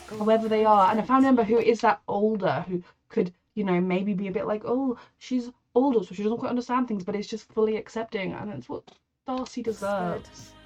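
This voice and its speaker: A woman with a head cold, chatting warmly and reacting to a TV episode as she watches it, speaking kindly to the characters. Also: medium pitch, muffled recording. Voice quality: nasal from a cold. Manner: chatty, empathetic, talks to the characters. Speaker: reaction video host. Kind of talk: casual reaction commentary.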